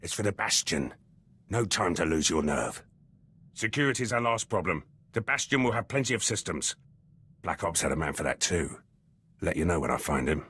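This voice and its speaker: A gruff special-forces lieutenant from a video game. The voice is very low and husky, with a hard, forceful edge. He speaks in clipped, cynical phrases, as if every word costs him. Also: expressive, normal speed, heavily acted. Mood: cynical, pressing. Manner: terse, clipped phrases that drop words. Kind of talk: video game character dialogue.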